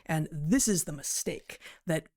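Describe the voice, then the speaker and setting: A woman co-hosting a podcast, giving skeptical, critical commentary in a halting way. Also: low voice, a little mellow. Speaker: podcast co-host, biologist.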